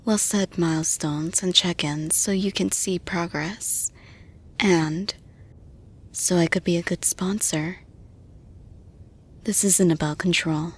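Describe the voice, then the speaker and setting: Woman acting a breathy, unhurried supportive lady boss. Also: fairly low pitch, fairly even intonation. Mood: calm, reassuring. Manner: measured, reassuring, soft breathy delivery. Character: composed, supportive female boss offering sponsorship to employee. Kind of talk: intimate roleplay audio addressed to the listener.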